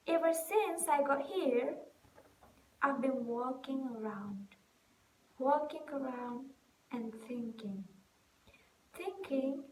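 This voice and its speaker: A woman playing a weary, distraught young stage actress in an emotional, halting theatrical monologue. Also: sits high, heavily acted. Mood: distressed, then elated. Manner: halting, emotional, swinging from hushed to loud. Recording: echoey room with noticeable background hiss.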